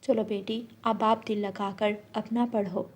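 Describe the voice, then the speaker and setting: A woman playing a kind, grateful, motherly farmer's wife in a children's cartoon story, speaking in a gentle, caring way. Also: medium pitch, level tone, a little slow, close to an everyday voice.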